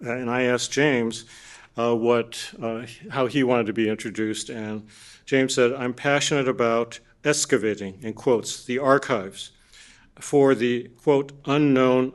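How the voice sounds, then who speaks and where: Man moderating an academic panel. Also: mid-range voice. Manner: discursive, full of restarts and asides. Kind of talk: academic keynote talk. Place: conference hall.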